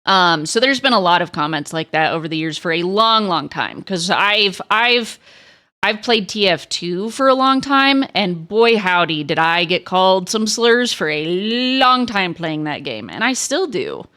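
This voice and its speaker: A young woman with a crackly, lower voice, chatting frankly with stream viewers and swearing freely. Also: big pitch swings, unhurried, sharp tone, clean tone. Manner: frank, swears a lot, many restarts. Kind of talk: casual chat to stream viewers.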